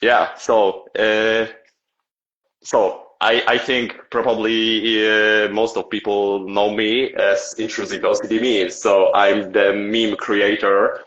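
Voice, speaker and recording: Hoarse low-voiced man, slow and halting. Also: flat delivery, fairly bright tone, dull audio. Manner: halting, repeats words, restarts. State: losing his voice, sore throat. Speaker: live stream host, meme creator. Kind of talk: casual live stream chat. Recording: echoey room.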